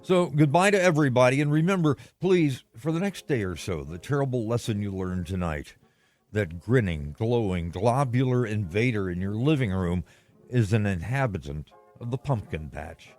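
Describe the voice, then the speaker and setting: A man giving a scripted, old-time radio sign-off, addressing listeners in measured, theatrical phrases. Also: fairly low pitch, animated, a little mellow. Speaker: lead actor giving sign-off out of character. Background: faint music.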